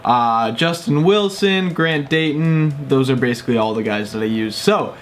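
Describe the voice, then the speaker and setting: A man's voice: mid-range voice, moderate pace, a little hiss or hum. A young man, a little sick, chatting casually with lots of fillers while playing a baseball video game at home. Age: young adult. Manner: chatty, rambling, upbeat with frequent fillers. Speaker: gaming YouTuber. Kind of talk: casual gameplay commentary. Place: small room at home, indoors.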